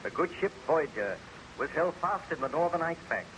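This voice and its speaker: A man's voice: a little high, expressive, moderate pace, noisy background. A man narrating an old radio drama as announcer, in a formal, dramatic storytelling style. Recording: old radio serial recording. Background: music.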